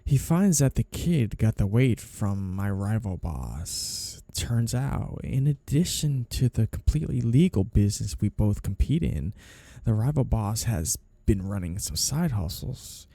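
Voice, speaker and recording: A man's voice: lowish voice, expressive, unhurried, gravelly, dark tone, no echo, full character voice. A man playing a flirtatious, two-faced mafia boss, teasing and insinuating in a noir ASMR roleplay. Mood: sly, measured. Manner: teasing, insinuating, mock-innocent. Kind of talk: ASMR roleplay, noir period-piece monologue to a listener.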